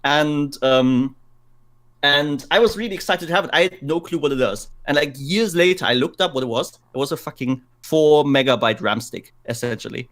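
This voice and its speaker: A man's voice: a little high, moderate pace, fairly bright tone. A man talking casually as a gaming podcast co-host, explaining things informally with some swearing.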